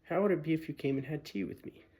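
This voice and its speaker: A man's voice: on the high side, quick pace, warm tone, husky, voice pushed up. A man voicing a polite, flustered male fawn in a storybook reading, repeating himself in his courteous, wistful way. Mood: coaxing.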